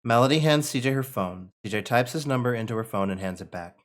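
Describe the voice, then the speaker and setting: A man's voice: mid-range voice, expressive, quick pace. A man narrating stage directions as part of a script table read.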